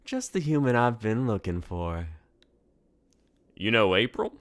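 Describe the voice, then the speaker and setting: A man's voice: lowish voice, very animated, measured pace, voice pushed up. A man voicing a mischievous alien shapeshifter woman in a story, with a brash, teasing, playful delivery.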